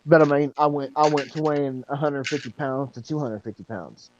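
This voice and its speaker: A man in his thirties talks in a dark, mellow voice, rambling along with plenty of fillers and restarts. The sound is muffled and lo-fi, with a faint hiss underneath. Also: fairly high pitch, fairly even intonation, brisk. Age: 30s. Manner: rambling, repeats himself, lots of fillers. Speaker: support group member. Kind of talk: casual chat.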